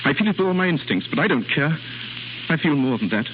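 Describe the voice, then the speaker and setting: An actor playing an earnest, lovestruck young English nobleman, halting and intense, in 1930s radio drama style. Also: fairly high pitch, lively intonation, lightly acted. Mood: ardent. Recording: muffled, noisy 1930s radio recording.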